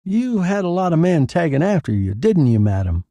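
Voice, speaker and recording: A man's voice: on the high side, very animated, normal speed, mellow, no room sound, pitched above the performer's own voice. A man voicing a pushy, slick young newspaper reporter who fires off snappy, insistent lines in a noir story. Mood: snappy, probing.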